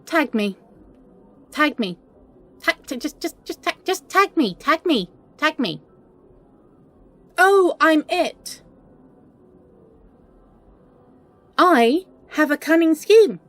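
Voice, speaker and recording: A woman playing a flustered, smitten, playfully scheming best friend in an intimate romantic audio roleplay, speaking in halting, stammering bursts. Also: high-pitched, expressive, fairly bright tone, dry recording, faint music behind, heavily acted. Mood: excited, nervous.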